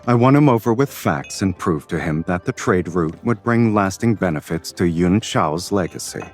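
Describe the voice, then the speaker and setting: Deep-voiced, wry romantic game lead. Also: male voice, animated, normal speed, soft background music. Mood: composed explaining. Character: smooth, confident, teasing male romance lead in fantasy game. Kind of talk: scripted video game dialogue.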